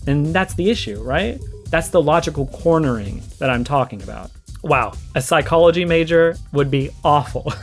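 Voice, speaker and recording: Guy doing a chatty gaming response vid, kinda high voice, explains stuff step by step, bit of music behind. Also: expressive, moderate pace. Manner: explanatory, argues point by point. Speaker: video essayist giving a response commentary. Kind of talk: conversational reaction commentary on games. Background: background music.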